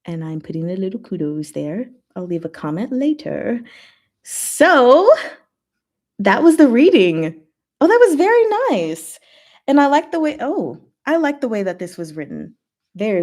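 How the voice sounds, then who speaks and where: A woman's voice: medium pitch, sing-song, moderate pace, darkish tone. A woman hosting a casual livestream, chatting with viewers in short, giggly asides. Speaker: livestream host.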